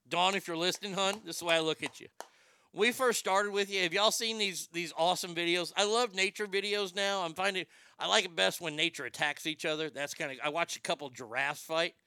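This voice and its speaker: A middle-aged radio host with a high tenor voice that sounds bright and forceful. His speech comes out smooth and crisp, recorded dry and close. He tells crude, brash stories with plenty of swearing. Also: brisk, dry recording. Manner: crude, brash, animated storytelling with profanity. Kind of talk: casual storytelling monologue.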